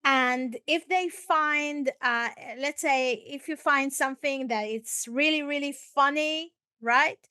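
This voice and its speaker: A woman hosting a casual, conversational language lesson as the teacher, expressive and often prompting and repeating words. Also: sits high, takes it slowly, hardly any pauses, no room sound, clean studio sound. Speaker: English teacher and podcast host.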